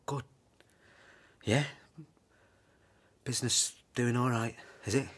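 An actor playing an ashamed, apologetic runaway husband in a naturalistic radio drama, halting and defensive. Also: male voice, mid-range voice, steady tone, a bit bright.

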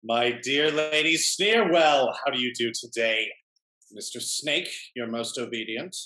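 A man plays a hypocritical gentleman from a period stage comedy. He speaks at a medium pitch in courtly phrases. His manner is sententious and smooth-talking. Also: a little slow. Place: indoor room, performing on video call.

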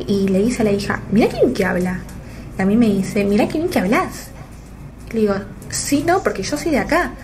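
A woman's voice: mid-range voice, lively intonation, normal speed, a little mellow, slight background noise. A woman from Argentina with an Argentine accent telling an anecdote and acting out the voices in it. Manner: acts out voices while telling a story. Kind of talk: anecdote told to camera.

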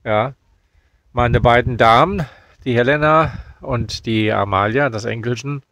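A man in his fifties casually vlogging while out on a walk, chatty and relaxed with many fillers, filmed on a phone held at arm's length. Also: mid-range voice, unhurried. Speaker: caravan vlogger. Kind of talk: casual vlog narration while walking. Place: outdoors on a foggy field path.

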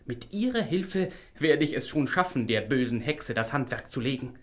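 An actor playing a determined young hero in a fairy-tale radio play, thinking aloud in a hushed, resolute way. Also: male voice, mid-range voice, lively intonation, normal speed, close to an everyday voice. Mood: determined, secretive. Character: determined young hero plotting a princess's rescue.

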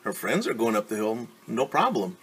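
A man in his forties reading a storybook aloud as a teacher, pausing to explain words and ask questions. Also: on the low side, big pitch swings, a bit bright, slight background noise. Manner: explains words slowly, asks rhetorical questions. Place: small room at home.

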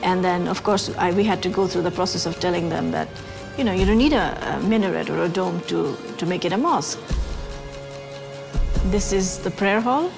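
A woman architect in her forties, speaking thoughtfully and measured in an interview, with pauses and fillers. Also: on the low side, vocal fry. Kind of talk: interview about her work. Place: indoors in a brick building. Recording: music bed underneath.

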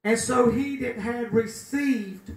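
High-voiced preacher man, echoing from afar. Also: takes it slowly. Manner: preaching with rising shouts and call-and-response. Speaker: pastor. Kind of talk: sermon. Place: small chapel room with a pulpit. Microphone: handheld mic, filmed by a far camera. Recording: echoey room from far off.

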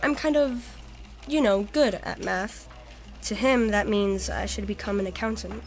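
A woman's voice: medium pitch, unhurried, over music, lightly acted. An actress playing a wistful, bookish young woman who loves astronomy, in a natural audio drama. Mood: wistful, hurt.

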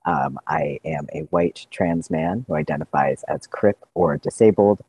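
A man's voice: rumbling low, unhurried, a little mellow, slight background noise. A young adult man, a PhD candidate, introducing himself informally in a research presentation. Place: video call indoors.

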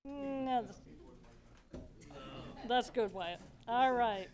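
High-voiced woman directing a group photo. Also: darkish tone, muffled recording. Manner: brisk, cheerful instructions. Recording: far from the mic in a meeting room.

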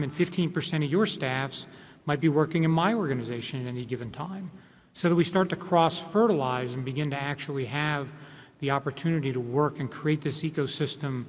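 A man in his forties speaks at a conference panel table into a table mic, his voice set a little higher than most men's. He explains earnestly, restarting phrases as he goes. A long hall reverb trails behind his words. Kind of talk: panel discussion remarks. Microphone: table microphone.

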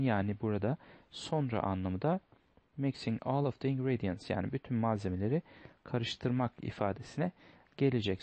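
A man's voice: fairly low pitch, a little mellow, no echo. A man teaching a lesson, going through test questions step by step, reading each line in English and then translating it. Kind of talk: explaining test answers.

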